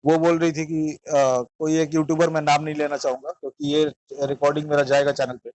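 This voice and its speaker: An Indian man with a slightly high voice, recorded dry and close. He hosts a phone interview, probing the caller with questions and step-by-step instructions. His speech mixes in English words. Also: flat delivery, fairly quick, a little mellow, no room sound, highs cut off. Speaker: interviewer and host of a channel.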